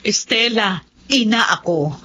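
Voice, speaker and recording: An actress playing an anguished, forgiving middle-aged mother in a radio drama, husky and fretful. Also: mid-range voice, measured pace, sharp tone, a little hiss or hum. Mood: anguished, worried. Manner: emotional, fretful. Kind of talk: Tagalog radio drama acting.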